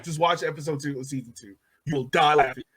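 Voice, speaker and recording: A man's voice: a little high, fairly even intonation, a little fast, fairly bright tone. A man co-hosting a soccer podcast in casual chat, speaking emphatically and repeating phrases for effect.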